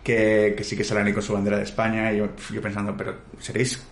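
A man's voice: lowish voice, completely flat delivery, quick pace, slight background noise. A man with a Castilian Spanish accent chatting casually as a podcast co-host, telling comedic anecdotes in a wry, campy, bantering way. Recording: roomy, echoing indoor sound.

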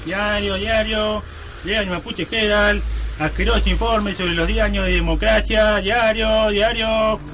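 Comic radio serial: male actor as meek, bungling young reporter, very high voice, animated, noisy old FM recording. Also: measured pace. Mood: glum hawking.

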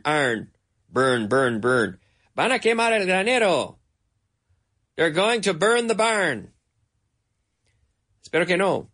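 A Canadian man giving a bilingual English lesson, speaking slowly and repeating words over and over so learners can catch the sounds. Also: on the high side, bright, forceful tone. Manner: slow, repeats words for drilling. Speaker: English teacher.

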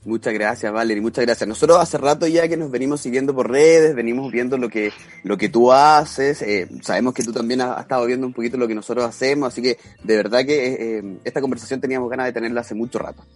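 A man hosting a casual podcast interview, speaking in a relaxed, hesitant way with many fillers. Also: medium pitch, quick pace, hardly any pauses, faint music behind. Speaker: podcast host.

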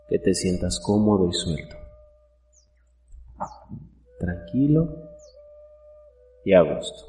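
A man guiding a meditation in a soft, warm, calm and gentle voice, unhurried and full of pauses. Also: low-pitched. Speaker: host and guide of a guided meditation show. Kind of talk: guided meditation, soothing narration. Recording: roomy echo, distant mic, soft music.

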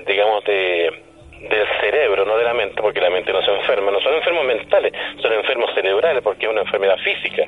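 A man's voice: on the low side, fast, slightly rough. A man with a Chilean accent explains things conversationally as a guest on a radio interview, punctuating statements with questioning hums. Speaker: guest paranormal commentator. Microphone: telephone. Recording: narrow, dull phone-line sound.

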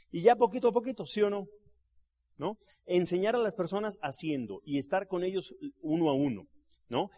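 A middle-aged man addresses a live audience at a business seminar. His voice sits a little high, and he talks like a trained stage actor telling stories. He jokes along the way and often checks that the crowd is with him. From Mexico City. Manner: animated storyteller, jokes with the crowd, asks tag questions; trained actor and voice-over artist. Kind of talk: live motivational talk to an audience.

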